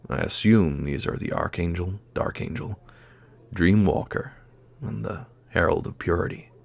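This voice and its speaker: A man's voice: low voice, measured pace, creaky, heavily acted. A man playing a grave, courteous old master in a fantasy audio drama, speaking formally and with reverence. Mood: awed, grave.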